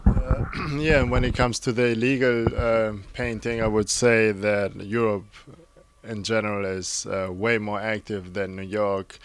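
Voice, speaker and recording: A man, a graffiti artist, explaining things in a relaxed, matter-of-fact way. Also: fairly low pitch, unhurried, slight background noise. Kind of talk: casual interview answers.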